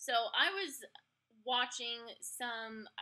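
A young woman hosts a podcast in a casual, colloquial style. Her voice is fairly high and bright. She takes her time, speaking at an unhurried, slow pace. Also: expressive, slight background noise. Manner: informal, colloquial. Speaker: podcast host. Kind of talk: casual chat.

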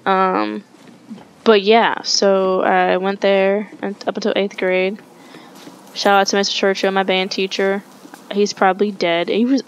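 A young woman streams a game from home and tells a long, wandering story into her headset. She swears casually, keeps correcting herself, and her pitch sits in the middle. A faint hiss runs under her voice. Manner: rambling, swears, self-correcting. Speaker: gaming streamer. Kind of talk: casual storytelling over gameplay. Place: home room, webcam.

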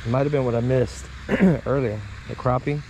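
A man's voice: medium pitch, brisk, low-quality recording. Guy narrating his pond fishing on a head cam, outdoor mic noise, gets hyped over catches. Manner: excitable, talks to viewers. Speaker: fishing vlogger. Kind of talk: casual running commentary while fishing. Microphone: head-mounted action camera. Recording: outdoor camera audio with noise.

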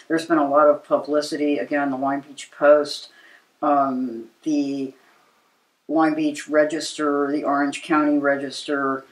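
An American woman in her sixties explaining things in a measured way during a conversational interview as a local preservation advocate. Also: deep voice. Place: living room with books, indoors. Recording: roomy, echoey living room.

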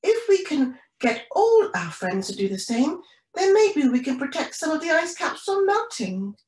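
A woman voicing an eager, excited young girl penguin for a children's storybook. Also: high voice, normal speed, reverberant, pitched above the performer's own voice. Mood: eager, earnest.

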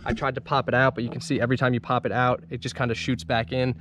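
A man in his car shop casually showing off the day's work, with loose, rambling talk full of fillers and restarts. His voice sits at an ordinary pitch, and the camera mic picks up faint noise behind him. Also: flat delivery, quick pace, fairly bright tone. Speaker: auto detailing and wrap shop owner. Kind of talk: casual shop vlog walkthrough. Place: car shop and lot, in and out of doors.

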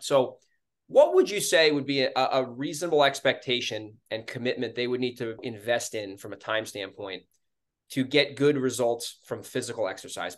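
Fast-talking young male podcast host. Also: mid-range voice. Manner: upbeat, fast-talking, casual filler "like". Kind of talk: podcast interview over video call. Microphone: video call webcam.

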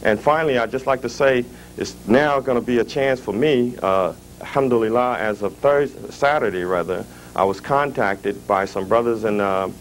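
Low male voice, closing podium remarks, faint tape noise. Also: low-quality recording. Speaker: speaker. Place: community hall. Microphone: podium mic.

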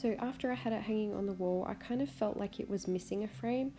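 A young woman talks casually through a home craft project, her voice a little hoarse and croaky from a cold. She sounds mid-pitched and friendly in a bare, echoey room. Plucked guitar music runs clearly underneath. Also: fast. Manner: chatty, explains steps as she works. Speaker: DIY vlogger. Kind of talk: casual home DIY vlog. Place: small home rooms, nursery.

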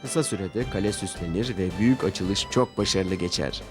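Low-voiced male children's storyteller over music. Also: normal speed. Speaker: narrator. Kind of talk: scripted children's story narration. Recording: music bed behind narration.

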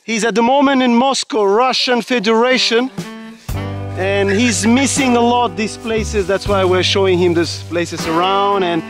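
A man's voice: high-pitched, steady tone, a little slow, music in the background. A man, hosting a travel vlog on a foggy mountain trail and explaining the sights in earnest, to a camera held at arm's length. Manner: earnest, explanatory, speaks English with non-native phrasing. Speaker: travel vlogger host. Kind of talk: walking travel vlog narration. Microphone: handheld camera at arm's length.

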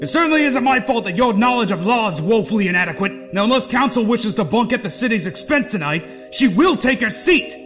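A man's voice: high-pitched, animated, brisk, few pauses. A man playing a pompous, irritable judge who scolds in a comic-book audio drama. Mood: irritated. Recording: music behind the voice.

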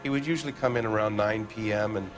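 An American actor playing a defensive, evasive middle-aged factory owner in a video game, with an indignant, blustering manner. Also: male voice, on the low side, fast talker, over music, close to an everyday voice.

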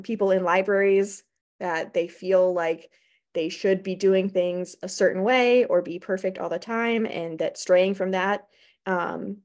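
A woman's voice: mid-range voice, darkish tone. Young American woman presenting informally. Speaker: academic librarian presenting at a conference. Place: small indoor room on a video call.

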